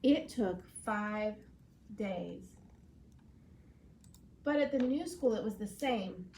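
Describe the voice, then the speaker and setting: A woman schoolteacher giving an expressive storybook read-aloud lesson in a clear, explanatory classroom manner. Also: medium pitch, slow pace, slight background noise.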